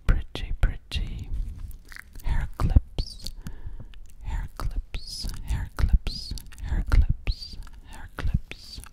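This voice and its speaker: Young female ASMR artist: unhurried, fully whispered, breathy, close-miked, with repetitive soft phrasing. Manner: slow, repeats words and phrases softly. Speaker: ASMR performer. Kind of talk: ASMR personal attention role-play. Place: small indoor room. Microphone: very close microphone, ear to ear.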